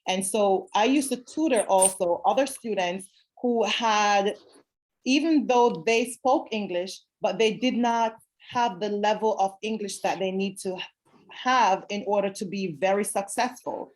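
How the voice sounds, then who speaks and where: A woman's voice: mid-range voice, a little slow, rough recording. A woman teacher from Jamaica talks over a video call, her voice sitting in a middle register. She explains her classroom methods patiently and earnestly, often repeating key phrases for emphasis. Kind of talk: zoom talk answering questions.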